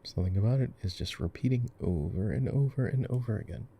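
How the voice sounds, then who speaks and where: An easygoing gamer boyfriend, played by a male voice actor, talks in a lowish voice with plenty of fry. He rambles playfully through casual game coaching, often breaking off his own sentences. It has the intimate, chatty feel of an ASMR roleplay. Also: fast talker, mellow, a little hiss or hum, natural voice. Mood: amused, musing. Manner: rambling, self-interrupting, playful.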